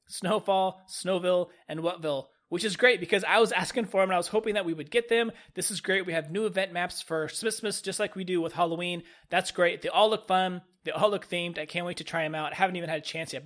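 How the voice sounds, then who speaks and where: A man's voice: high voice, fairly even intonation, quick pace, hardly any pauses, a bit bright. A man stuffed up with a cold, casually and enthusiastically reviewing a new game update as a gaming video host. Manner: upbeat, enthusiastic, repeats "really, really". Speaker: gaming YouTuber.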